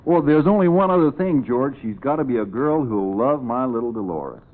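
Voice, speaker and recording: An actor playing an earnest, bashful country pig farmer in a folksy, hesitant way in an old-time radio comedy. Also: male voice, mid-range voice, animated, brisk, close to an everyday voice. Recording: narrow, muffled vintage radio recording.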